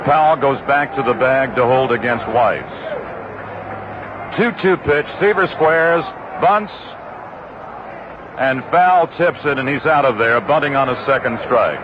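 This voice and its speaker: A man's voice: mid-range voice, a little slow. A man doing live radio baseball play-by-play in clipped, descriptive phrases. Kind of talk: live radio sports commentary. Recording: muffled, very noisy 1960s radio broadcast.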